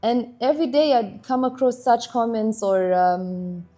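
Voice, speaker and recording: A young woman from Kenya, chatting casually about her marriage, animated and laughing now and then. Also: a little high, unhurried, soft background music. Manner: animated, laughs while telling stories. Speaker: interviewee, wife in a couple. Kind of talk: casual conversational storytelling.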